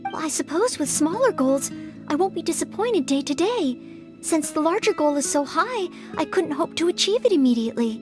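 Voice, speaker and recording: A woman voicing a humble, polite young maid who dreams of knighthood in an anime-style video game, speaking with gentle earnestness and self-doubt. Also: high-pitched, big pitch swings, moderate pace, music in the background. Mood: flustered, thoughtful.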